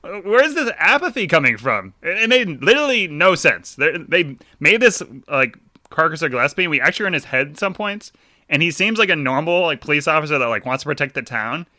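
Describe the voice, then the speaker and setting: A man co-hosting a casual book club podcast, animated and given to exasperated rants. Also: fairly high pitch, brisk, dry recording. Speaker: podcast co-host.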